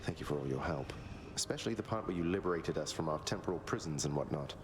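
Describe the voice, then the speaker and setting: An actor playing an easygoing, affectionate young Underworld prince and big brother in a video game cutscene, casual and wry. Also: male voice, rumbling low, animated, quick pace, noisy background. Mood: earnest, wry.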